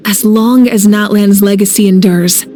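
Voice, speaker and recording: An actress playing a resolute, composed warrior leader in a video game, speaking earnestly. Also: female voice, medium pitch, steady tone, moderate pace, mellow, soft background music, close to an everyday voice. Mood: calm conviction. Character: resolute warrior archon woman, calm, self-sacrificing leader. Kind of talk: video game cutscene acting.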